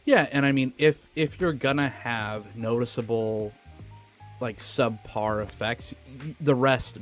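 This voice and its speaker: A man's voice: medium pitch, unhurried, faint music behind. A man co-hosting a film podcast, talking casually in an informal, slangy way.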